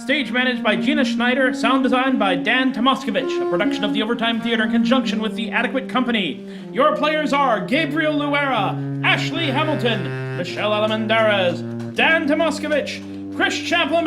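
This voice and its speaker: Very high-pitched man announcing over loud guitar. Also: animated, moderate pace. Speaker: announcer, reading credits. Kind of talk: theatrical radio show sign-off. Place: theater stage, performed live. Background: loud guitar music.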